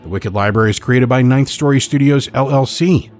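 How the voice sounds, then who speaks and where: A man hosting a podcast, reading scripted closing credits in a measured, formal announcing manner. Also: medium pitch, darkish tone, soft background music. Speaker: podcast host.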